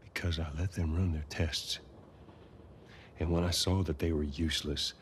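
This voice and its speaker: A man playing a guarded, weary middle-aged survivor in a video game, with a terse, measured delivery. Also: very deep voice, steady tone, slight background noise. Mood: firm, guarded. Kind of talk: naturalistic cinematic game acting.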